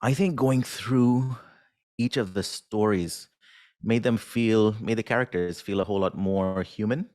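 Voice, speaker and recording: A man's voice: lowish voice, unhurried, a little mellow. A man answering as an interview guest in a casual, unassuming, self-deprecating way, with hesitant phrasing.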